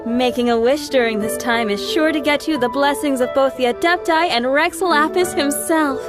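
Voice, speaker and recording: Woman acting a high-voiced, kindly festival-goer. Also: moderate pace, natural voice. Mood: kindly, amused. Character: friendly, generous female festival-goer. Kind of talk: video game character acting. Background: game music.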